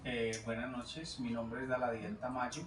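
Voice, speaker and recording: A man's voice: mid-range voice, level tone, a little slow. A man in his thirties, a focus group participant speaking casually and politely. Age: 30s. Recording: echoey room, far mic, loud noise.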